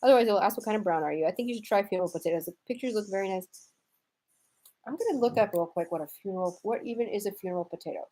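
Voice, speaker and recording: Casting: American woman, live-stream host, mellow relaxed voice, casual and wry, loose slangy delivery. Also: medium pitch, very fast. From United States, raised in Houston, Texas. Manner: wry, irreverent, informal with slang. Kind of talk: casual chat, reading and answering viewer comments.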